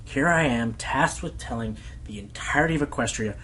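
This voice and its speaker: A man's voice: mid-range voice, a little fast, slight background noise, lightly acted, rough recording. A man playing a worried, weary pony radio host in a radio play. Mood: exasperated. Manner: worried, hesitant, sighing. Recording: loud music over the voice.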